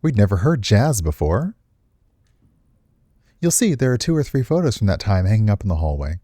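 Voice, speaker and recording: A man's voice: on the low side, sing-song, moderate pace, mellow, no echo, clean studio sound. A man voicing a well-meaning but condescending, nostalgic older woman in visual novel dialogue, with a genteel, airy manner.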